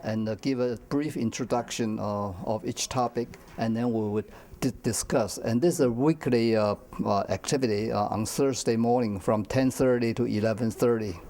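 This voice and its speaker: An older man, speaking haltingly with repeated words and grammar in an interview. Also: medium pitch, warm tone. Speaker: senior center discussion group leader. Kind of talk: casual interview answers.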